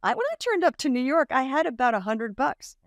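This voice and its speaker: A woman in her fifties, raised in Australia, telling stories as a podcast guest, lively and acting out the voices of others. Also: sits high, fairly quick, no room sound, clean studio sound. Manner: animated storyteller, acts out quoted voices, laughs often. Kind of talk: casual interview storytelling. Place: home room with bookshelf. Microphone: large studio mic on boom arm, video call.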